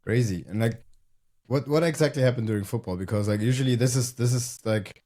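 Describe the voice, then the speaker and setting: Mellow male host. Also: medium pitch, fairly quick. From Germany. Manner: hesitant, searching for words. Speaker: podcast host. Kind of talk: casual interview chat.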